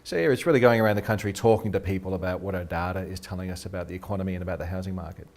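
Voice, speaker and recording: A middle-aged Australian man, a research head being interviewed, talks quickly and eagerly and restarts his sentences a lot. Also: low-pitched, darkish tone. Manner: quick, eager, repeats words when starting sentences. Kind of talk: casual interview talk. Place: radio studio.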